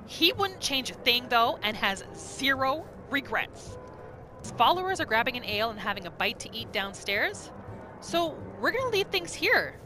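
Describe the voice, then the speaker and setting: A woman narrates a roleplay video game as its host. Her voice sits high and swings widely in pitch as she dramatizes her character's story in the third person. Game music plays behind her. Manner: expressive, exclamatory, dramatizing.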